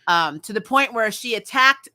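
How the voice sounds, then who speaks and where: Bright-voiced woman chatting as co-host. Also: a little high, normal speed. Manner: animated storytelling, slangy, acts out quotes. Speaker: review show co-host. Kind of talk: casual chat.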